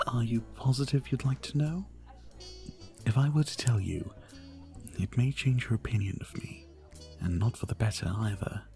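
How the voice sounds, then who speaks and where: The courteous, teasing vampire behind a late-night bar in a roleplay audio, acted by a man, murmuring softly with a crackle in his throat as faint music plays. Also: mid-range voice, moderate pace, long pauses, natural voice. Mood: wistful, guarded. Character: charming flirtatious male vampire bartender, modest outsider. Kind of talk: ASMR roleplay, addressing the listener.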